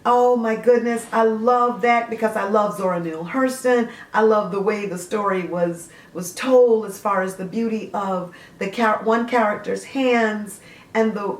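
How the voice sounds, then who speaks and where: A woman hosting a book series, gushing about stories and reading excerpts aloud with frequent fillers. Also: medium pitch. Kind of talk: casual book review with read-aloud excerpts. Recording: roomy echo.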